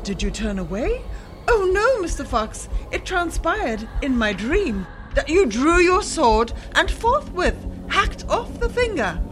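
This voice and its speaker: An actress playing a bold, steely young noblewoman in a macabre fairy-tale radio drama, speaking in a deliberate, melodious, theatrical way. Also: high-pitched, sing-song, fairly bright tone, heavily acted. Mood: taunting, deliberate.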